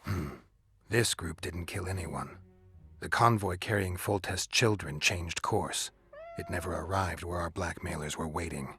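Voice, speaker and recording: An actor playing a stoic monster hunter in a video game, with an American accent and a gravelly, deadpan, terse delivery. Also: male voice, a little slow, heavily acted. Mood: matter-of-fact, wry. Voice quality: low gravelly growl, breathy at phrase ends. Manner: deadpan, terse, dryly sardonic. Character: gruff, stoic male monster hunter for hire, dry and blunt.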